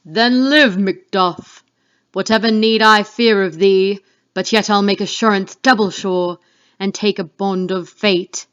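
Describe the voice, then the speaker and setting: A woman's voice: mid-range voice, fairly even intonation, a little slow, a bit bright, clean tone, natural voice. A woman reading the part of Macbeth, a fearful, defiant king, in a declamatory Shakespeare dramatic reading. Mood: wary, resolved. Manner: declamatory, exclamatory. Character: Macbeth, male Scottish thane turned king, fearful and defiant. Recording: dull lo-fi home recording.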